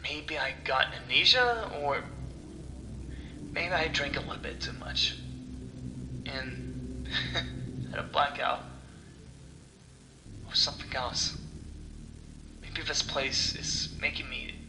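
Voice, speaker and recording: A man playing a confused, frightened amnesiac in a horror story, talking to himself in halting questions. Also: fairly high pitch, creaky, noisy background. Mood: troubled questioning. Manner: halting, questioning, sighing self-talk. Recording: echoey sound with music underneath.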